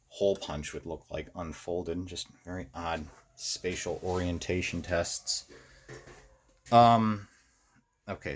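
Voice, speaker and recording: An American man giving a casual, unscripted hands-on tutorial, thinking aloud in a halting, rambling way as he works. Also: low voice, slight background noise. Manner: halting, rambling, talks to himself while working. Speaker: host of a hobby electronics how-to series.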